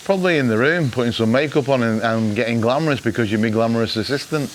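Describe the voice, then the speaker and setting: A man's voice: mid-range voice, quick pace, slight background noise. A British man chatting casually as a vlog host, with a jokey, wry, mock-exasperated way of telling things. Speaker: vlog host giving travel gadget tips. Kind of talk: casual chat to camera.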